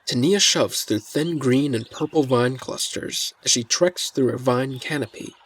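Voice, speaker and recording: A man's voice: mid-range voice, unhurried, husky. A man narrating a scripted fantasy story in the present tense as its narrator. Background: faint music.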